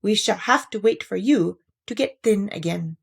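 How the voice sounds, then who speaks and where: A woman voicing a kind, sensible young storybook boy in a gentle, reassuring manner. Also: medium pitch, lively intonation, normal speed, gravelly, slightly rough. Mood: matter-of-fact.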